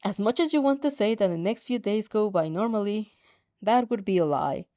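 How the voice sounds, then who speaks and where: Woman reading fanfic out loud, dramatic, very mellow warm tone, bit muffled lo-fi mic. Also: medium pitch, animated, moderate pace. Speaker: fan fiction narrator. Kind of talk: dramatic second-person story reading.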